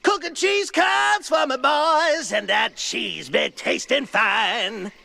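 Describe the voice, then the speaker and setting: A man playing an eccentric, excitable old swamp cook, speaking in folksy, sing-song rhymes with a creaky voice. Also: extremely high pitch, takes it slowly, faint music behind, exaggerated voice. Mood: gleeful. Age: older. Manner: rhyming, sing-song, whooping folksy talk.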